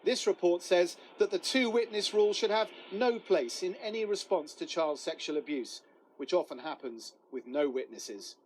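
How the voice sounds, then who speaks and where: A man's voice: sits high, moderate pace, slight background noise, rough recording. A man narrating a scripted television news report as a correspondent.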